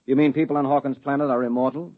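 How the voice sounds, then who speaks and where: A man's voice: medium pitch, fairly even intonation, fast, natural voice. A man playing a curious, wry male government official in a 1950s radio drama, asking probing questions. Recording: thin tinny 1950s radio broadcast.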